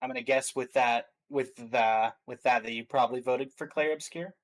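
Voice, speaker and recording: Mid-pitched man in casual gaming chat. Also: fairly even intonation, normal speed. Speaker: stream co-host. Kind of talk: casual chat about video games.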